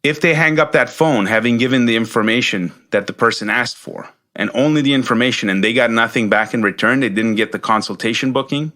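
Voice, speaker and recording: A man's voice: mid-range voice, sharp tone. A man hosting an instructional business podcast, coaching listeners in an emphatic way and repeating key points for stress.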